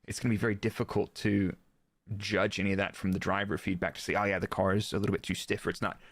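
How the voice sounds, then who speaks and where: A man's voice: low-pitched, flat delivery, quick pace, dry recording, studio quality. A man giving casual, informal technical motorsport analysis as a guest expert in a live interview. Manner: explanatory, informal, illustrates with quoted remarks. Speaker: guest expert, former Formula 1 performance engineer.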